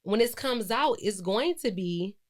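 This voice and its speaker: A woman's voice: medium pitch, expressive, unhurried. A woman, hosting a solo podcast in a chatty, reflective way, with many fillers and self-corrections. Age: 20s. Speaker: podcast host. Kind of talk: casual solo podcast monologue.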